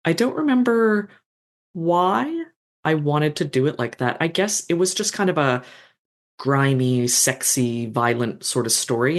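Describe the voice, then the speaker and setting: A guest author chatting in a relaxed, thoughtful way, often restarting sentences and filling pauses with "um" and "like". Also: medium pitch. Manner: thoughtful, rambling with fillers and restarts. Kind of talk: casual chat.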